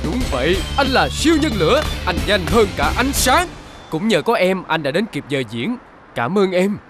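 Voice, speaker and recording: A vain male action superstar with a lowish, swooping voice. He is hurried and evasive, then boastful. Music plays behind him in the cartoon. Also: very animated. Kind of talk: dubbed cartoon acting.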